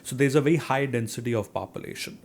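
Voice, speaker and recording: A man's voice: medium pitch, fast, a little hiss or hum. A middle-aged man from India with a strong Indian accent, a guest speaking earnestly in an interview, with many "you know" and "right?" fillers. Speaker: real estate investor guest. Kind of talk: conversational interview.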